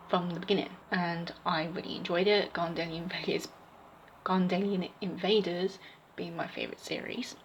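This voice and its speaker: A young woman giving her opinions in a casual vlog, rambling with fillers and restarts, her voice slightly croaky. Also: lowish voice, fairly bright tone, slight background noise, rough recording. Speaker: vlogger.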